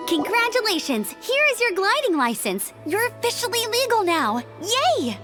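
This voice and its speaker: Perky young knight girl in a game, really high bouncy voice, music playing. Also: sing-song, moderate pace. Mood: cheerful. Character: perky, brave young female knight scout and glider. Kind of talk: animated video game voice acting.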